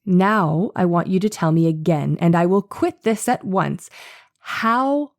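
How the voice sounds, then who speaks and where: A woman's voice: lowish voice, lively intonation, unhurried, darkish tone. A woman playing a persistent, sardonic male defense lawyer in a dramatized trial reading, questioning in a pressing, dryly sarcastic way. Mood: pressing, probing. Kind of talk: dramatized courtroom transcript reading.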